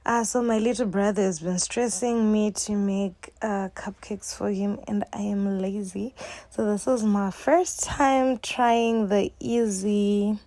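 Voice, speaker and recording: A young woman with a Zimbabwean accent talks her viewers through a baking video in a relaxed, chatty way. She takes her time, and her voice sounds close and dry, with no room echo. Her delivery is playful, full of jokes and asides to the audience. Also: mid-range voice, slow. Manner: playful and jokey, laughs often, addresses viewers as "guys". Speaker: cooking vlogger. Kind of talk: casual chatty baking vlog.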